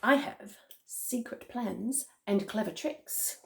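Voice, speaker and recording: A woman voicing an enormous greedy crocodile, wicked and sly, with a slow, drawn-out, menacing delivery in a children's story. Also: on the high side, creaky, a bit bright, full character voice. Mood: gloating, sly.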